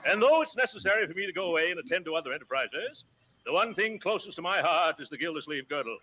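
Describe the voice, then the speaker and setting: A man's voice: fairly high pitch, expressive, fast, pushed and bright, slight background noise, rough recording. An actor playing a pompous, jovial, blustering businessman with a big appetite in a 1940s radio comedy, chuckling and hemming and hawing. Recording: old radio broadcast with studio audience.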